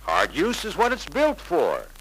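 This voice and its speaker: Young male salesman: polite, persuasive, forceful bright voice, faint noise of an old 1950s film soundtrack. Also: on the high side, huge pitch swings, a little slow, heavily acted, low-quality recording. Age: young adult. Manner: deferential, persuasive, earnest. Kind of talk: 1950s sales pitch acting.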